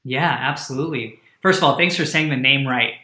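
A man's voice: medium pitch, a little fast, sharp tone, reverberant. A man whose first language is Hindi, a startup founder who explains his work as a guest in an earnest way. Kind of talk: podcast interview.

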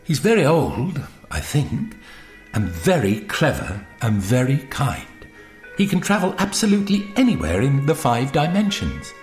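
A man voicing a bright, earnest and excitable young girl in an audiobook reading. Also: medium pitch, unhurried, roomy sound, soft background music. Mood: thoughtful, admiring.

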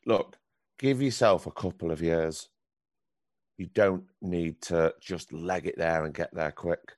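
A man with a very deep voice, a professional actor answering a youth theatre group's questions with candid, encouraging advice. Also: a little slow, darkish tone, no room sound, studio quality. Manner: candid, encouraging advice with frequent ums and restarts.

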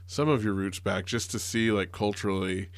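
A man's voice: low voice, fairly even intonation, normal speed, no room sound. Earnest man, rambling with fillers. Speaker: teacher. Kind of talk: casual conversation.

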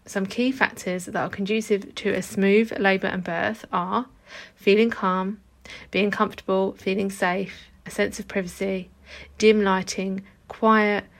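Croaky woman, thirties, flat delivery, casual podcast host. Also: mid-range voice, measured pace. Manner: chatty, informal, swears casually. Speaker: podcast host and hypnobirthing teacher. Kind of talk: casual solo podcast talk.

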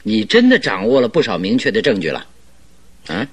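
A man's voice: on the low side, wildly swooping pitch, fairly quick, breathy edge, full character voice. A man playing a shrewd, amused master detective in a radio drama, probing and teasing as he talks. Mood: probing, wry. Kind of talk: Chinese radio drama acting.

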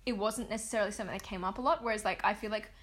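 A woman's voice: medium pitch, fairly even intonation, a bit bright. A young woman, co-hosting a casual chat in a bubbly way with lots of "like". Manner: bubbly, quick, heavy use of "like". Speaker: podcast co-host. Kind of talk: casual chat between friends.

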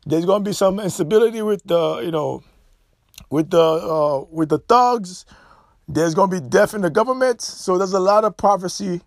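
High-voiced man preaching emphatically. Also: no echo, studio quality. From Haiti. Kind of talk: impassioned monologue to viewers.

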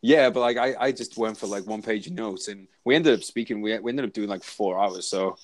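A Welsh man guesting on a podcast over a remote call, talking in a relaxed, self-deprecating way with halting restarts. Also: fairly low pitch. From Wales. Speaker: guest, soldier and author. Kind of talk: casual interview chat.

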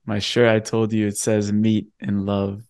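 A man with a fairly low, resonant voice hosts a podcast interview. He speaks conversationally, sounding earnest and appreciative, with a very clean tone. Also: completely flat delivery, unhurried, creaky, darkish tone. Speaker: podcast host.

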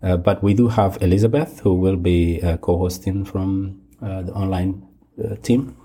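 A man hosting and moderating a panel discussion, speaking haltingly with many restarts. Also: low-pitched, steady tone, warm tone, a little hiss or hum. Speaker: host and moderator.

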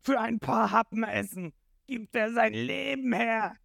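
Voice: medium pitch, steady tone, measured pace, vocal fry, a bit bright, dry recording, clean studio sound, pitched above the performer's own voice. A narrator voicing a cruel, domineering male pirate captain with a menacing, scornful manner in a storybook retelling. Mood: gloating. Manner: menacing, sneering.

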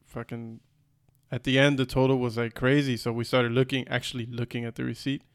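A man co-hosting a podcast, talking in a relaxed, wry and slightly rambling way. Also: mid-range voice, brisk, clean tone. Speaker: podcast co-host. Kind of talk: casual podcast chat.

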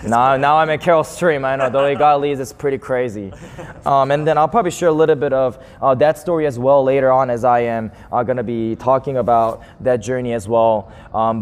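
Twenty-something guy preaching, joking around, long echo in the church, faint hiss. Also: mid-range voice. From born in South Korea, grew up in Japan. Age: 20s. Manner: friendly, self-deprecating, laughs often, many fillers. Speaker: guest preacher. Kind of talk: casual, chatty sermon introduction. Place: church sanctuary.

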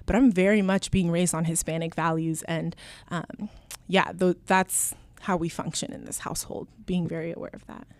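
Young woman from Alaska, first language Spanish, interview guest; earnest, lower voice, dry close sound. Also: a little mellow, dry recording. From Alaska, USA. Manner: earnest, many fillers and restarts. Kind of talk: reflective personal storytelling.

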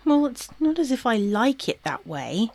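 A woman's voice: fairly high pitch, big pitch swings, normal speed, a little mellow, no room sound. An actress playing a self-doubting wife and new mother in a domestic audio drama, hesitant and questioning. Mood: doubtful.

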